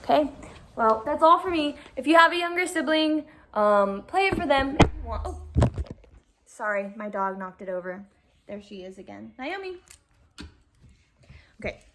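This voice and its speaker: Animated high-voiced woman reading a story. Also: moderate pace, pauses often, very noisy. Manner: animated, dramatic storytelling delivery. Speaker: schoolteacher reading to students. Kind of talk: expressive picture-book read-aloud with casual asides.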